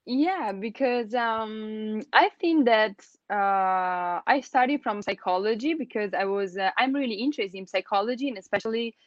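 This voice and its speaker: A young woman with an Italian accent, chatting as an interview guest, full of "like" and restarts and quick laughs. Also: mid-range voice, no echo, dull audio. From Italy. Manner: chatty, many fillers and restarts, laughs often. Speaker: guest, email account manager. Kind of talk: casual interview chat.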